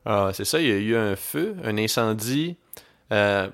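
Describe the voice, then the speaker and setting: A young man with a Québécois French accent, co-hosting a podcast in a relaxed, casual chat and telling stories with English slang mixed in. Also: lowish voice, measured pace. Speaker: podcast co-host.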